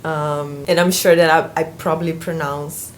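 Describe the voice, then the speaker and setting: A woman's voice: deep voice, steady tone, normal speed, slight background noise. A young woman from Brazil with a Brazilian Portuguese accent, speaking English in a casual book vlog, hesitant, with many restarts. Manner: hesitant, many restarts and fillers, warm laughs between thoughts. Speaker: booktuber.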